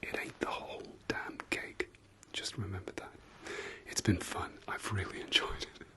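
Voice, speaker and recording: A man whispering softly through an ASMR eye-exam roleplay, repeating gentle praise and soothing words. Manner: slow soft whisper, repetitive soothing praise. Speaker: ASMR creator.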